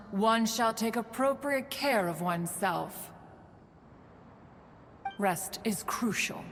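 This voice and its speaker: A woman's voice: mid-range voice, big-room sound, a little hiss or hum, lightly acted. A woman voicing an aloof immortal sage in a video game, formal, stately and lecturing.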